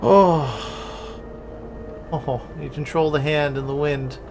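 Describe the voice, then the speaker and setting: A man's voice: fairly high pitch, fairly even intonation, slow, a crowd in the background. A man reacting casually to a video game as he streams it. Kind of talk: casual let's play reactions. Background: game music.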